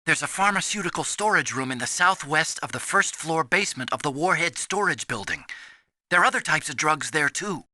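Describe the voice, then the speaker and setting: Casting: timid, kindly young scientist in a video game. Sharp, lively, dry close recording, earnest delivery. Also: male voice, a little high, fairly quick, no room sound, full character voice. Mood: helpful. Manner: earnest, anxious, explanatory. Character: earnest, timid young male scientist, soft-hearted and nerdy.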